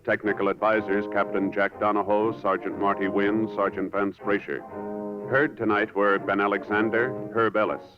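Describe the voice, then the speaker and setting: A man reading formal, official scripted narration as a 1950s radio announcer in a measured way. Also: lowish voice, flat delivery. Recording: muffled 1950s radio recording. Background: orchestral music.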